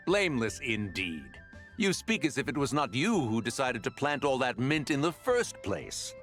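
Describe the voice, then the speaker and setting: A man's voice: medium pitch, sing-song, unhurried, fairly bright tone, faint music behind. A man playing a lofty, mischievous immortal in a fantasy game, speaking in archaic, florid phrases. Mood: mock indignation. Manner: archaic, florid, lamenting diction. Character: lofty immortal male adeptus, theatrical and archly mischievous. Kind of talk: fantasy video game voice acting.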